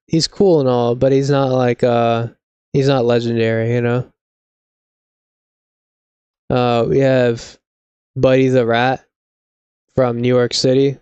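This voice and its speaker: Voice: mid-range voice, little pitch movement, takes it slowly, pauses often, dark tone, clean tone, no echo. A laid-back, slangy live streamer of unclear sex, chatting casually with mock seriousness. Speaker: video game live streamer.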